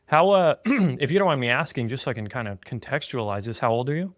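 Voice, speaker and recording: Thirties man, laid-back podcast host, middle pitch, dry close sound. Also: lively intonation, fast talker, dry recording. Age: 30s. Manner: easygoing, earnest, with frequent "um" and "yeah". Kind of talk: casual podcast chat. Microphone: remote call.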